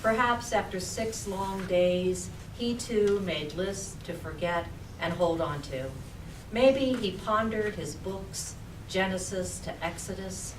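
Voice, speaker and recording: A woman poet reading her own poem aloud at a live reading, in measured, deliberate phrases. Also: fairly low pitch. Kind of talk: poetry recitation at a live reading. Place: public library reading room. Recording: roomy, distant mic, noisy background.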